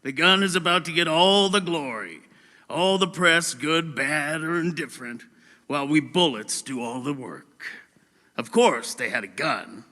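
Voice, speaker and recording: A man in an audio drama playing a boastful, callous talking bullet with a wisecracking, deliberate delivery. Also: fairly high pitch, lively intonation, pushed and bright, big-room sound. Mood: boastful, sardonic.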